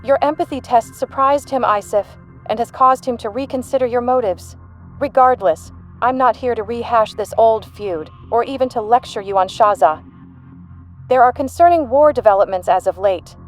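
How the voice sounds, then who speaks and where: A woman's voice: on the high side, moderate pace, soft background music, natural voice. A woman playing a shrewd, unflappable human intelligence general in an audio drama, composed, dry and authoritative. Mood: cool, assured.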